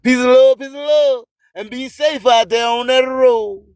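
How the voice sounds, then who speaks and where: High-pitched man hosting emphatic motivational radio. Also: fairly even intonation, a little slow, darkish tone, clear, resonant tone, dry recording, studio quality. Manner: emphatic, declamatory, repeats catchphrases. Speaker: radio host. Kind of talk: motivational radio monologue with promotional shout-outs.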